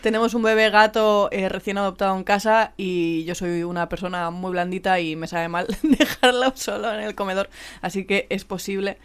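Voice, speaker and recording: A woman's voice: medium pitch, fairly even intonation, normal speed, clear, resonant tone. A woman with an accent from Spain, chatting casually and playfully in slangy, informal speech as a podcast co-host. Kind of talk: casual chat.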